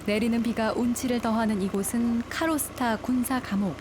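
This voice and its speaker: Calm woman narrating a travel documentary. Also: on the high side. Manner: calm, measured storytelling. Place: studio voice-over. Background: music.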